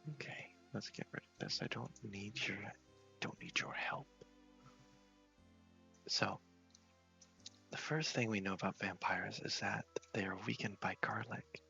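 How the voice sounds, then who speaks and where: A man with a lowish, gravelly voice gives soft-spoken ASMR game commentary. He rambles casually and takes his time, sometimes slipping into a whisper, close on a headset mic with music behind him. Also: a little slow, pauses often, lo-fi sound. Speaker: host of a gaming channel. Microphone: headset microphone.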